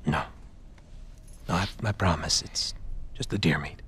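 An actor playing a calculating middle-aged male leader of survivors in a video game, measured and persuasive with quiet menace. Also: rumbling low, slight background noise, exaggerated voice. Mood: calm, menacing. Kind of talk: dramatic video game cutscene acting.

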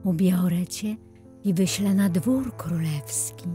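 Woman as a sweet, gentle fairy sorceress. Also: fairly low pitch, slow pace, soft background music. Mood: sweet, inviting. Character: young, beautiful, kindly fairy sorceress.

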